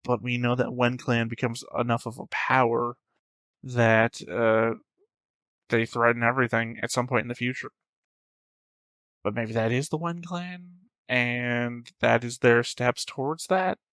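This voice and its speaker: A man giving unscripted reaction commentary as a host, rambling as he thinks out loud. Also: mid-range voice, level tone, unhurried, clean tone, no room sound.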